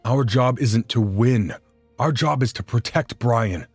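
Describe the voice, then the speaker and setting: A man's voice: mid-range voice, a little slow, slightly rough, no room sound, soft background music. A man playing a cautious, rule-bound fairy guardian in a fan audio drama, arguing with reproach and sarcasm. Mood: stern, protective.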